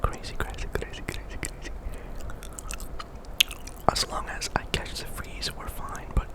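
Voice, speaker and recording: A man giving casual, rambling ASMR commentary on a video game in a conversational way. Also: unhurried. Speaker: video game streamer and host. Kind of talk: whispered ASMR gameplay commentary.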